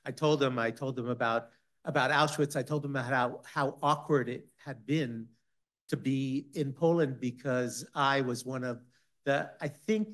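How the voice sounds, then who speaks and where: A man on a discussion panel, recounting a personal story in a halting way with many restarts. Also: mid-range voice, fairly even intonation, fairly bright tone. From Israel-based.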